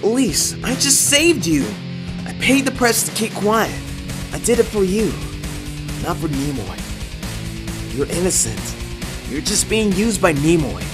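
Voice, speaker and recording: A woman's voice: lowish voice, very animated, measured pace, pauses often, music in the background. A woman playing a teasing, possessive teenage boy singer in an amateur online radio drama. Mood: insistent, accusing.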